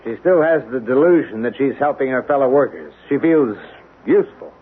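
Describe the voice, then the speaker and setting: Protective army colonel, 70s radio drama, measured and insistent, thin band-limited sound. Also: male voice, mid-range voice, lively intonation, husky. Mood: indignant, pleading. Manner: measured, emphatic, rhetorical questioning. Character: earnest, protective male military colonel, middle-aged. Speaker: actor in a radio play. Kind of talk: 1970s radio drama acting. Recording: tinny, band-limited 1970s radio recording.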